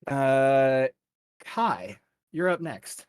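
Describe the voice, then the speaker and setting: A man acting as game master, narrating a tabletop fantasy game off the cuff in a measured, halting way. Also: mid-range voice, lively intonation, smooth, dry recording, studio quality.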